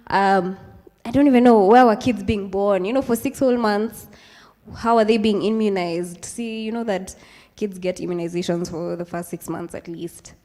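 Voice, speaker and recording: A woman's voice: mid-range voice, darkish tone. A young Kenyan woman in her twenties, speaking to a live audience in a chatty, casual way, mixing in Swahili phrases and plenty of ums. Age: 20s. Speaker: speaker at a youth event. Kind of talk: informal talk with slides to a live audience. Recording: hall with long reverb.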